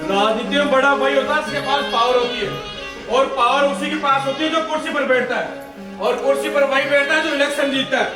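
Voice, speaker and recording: A man's voice: very high-pitched, steady tone, fairly quick. An actor playing a menacing, power-hungry village strongman politician on stage, with a domineering, rhetorical way of talking. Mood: commanding. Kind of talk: projected stage acting. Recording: distant echoey theatre hall with music.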